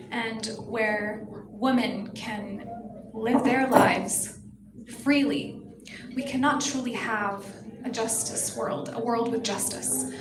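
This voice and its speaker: Young woman, from Palestine, pageant contestant, earnest and impassioned, slightly high voice, echoey hall with busy room noise. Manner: earnest, impassioned, speaks in full sentences. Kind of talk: answering press questions. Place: hotel event hall with a crowd.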